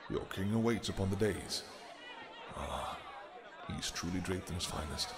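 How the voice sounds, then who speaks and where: Man as a deep, slow royal attendant. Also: loud music behind the voice, a loud crowd. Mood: reassuring, deferential. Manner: deferential, measured, gentle. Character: courteous, reassuring male royal attendant. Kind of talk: fantasy audio roleplay.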